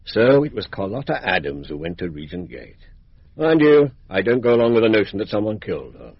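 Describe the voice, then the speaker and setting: An actor playing a blunt, confident English police inspector, chatty and matter-of-fact, in a radio drama. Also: male voice, fairly low pitch, lively intonation, brisk, husky, no room sound. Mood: confident, brisk. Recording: dry, dull lo-fi radio recording.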